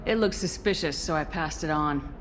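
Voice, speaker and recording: A woman's voice: deep voice, normal speed, a little hiss or hum, close to an everyday voice, poor audio. A woman playing a composed, direct female military commander in a video game, asking questions in a matter-of-fact way.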